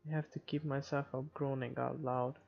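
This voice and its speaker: Casting: woman let's-player, very deep gravelly voice, casual reading with wry asides, lo-fi mic, game music behind. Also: flat delivery, moderate pace. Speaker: gamer.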